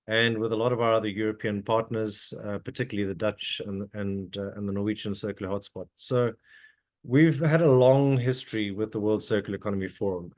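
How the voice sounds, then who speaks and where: A middle-aged man with a somewhat low voice, hoarse and a little scratchy from a cold. He talks on a video call in a halting way, with plenty of ums, uhs and restarts. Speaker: webinar speaker. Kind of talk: presenting at an online webinar.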